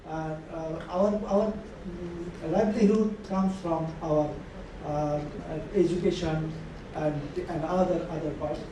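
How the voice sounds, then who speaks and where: A man's voice: fairly high pitch, fairly even intonation, slow pace. A man speaking as a panelist at a conference, hesitant and full of fillers. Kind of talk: conference remarks. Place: large conference hall. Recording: distant echoey hall recording with noise.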